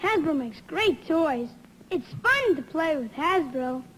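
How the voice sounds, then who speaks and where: With faint noise behind her, a young woman delivers an upbeat toy advert. Her high voice swings about animatedly as she cheerfully demonstrates the product. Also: lively intonation, slow, fairly bright tone, low-quality recording. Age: young adult. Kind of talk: upbeat toy commercial pitch.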